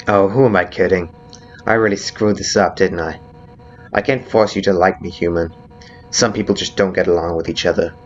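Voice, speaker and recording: A man's voice: on the low side, highs cut off, natural voice. A man voicing a brash, hot-headed female monster guard captain with blunt, informal delivery in a video game fan dub. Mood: dejected. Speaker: character voice actor in a fan dub. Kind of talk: dramatic video game dialogue. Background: background music.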